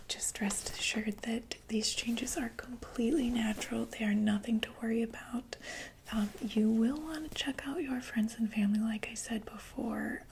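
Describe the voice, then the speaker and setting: A woman playing a reassuring female doctor for monsters in a whispered ASMR roleplay, explaining gently and hesitantly in a breathy voice. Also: a little high, a little hiss or hum, low-quality recording.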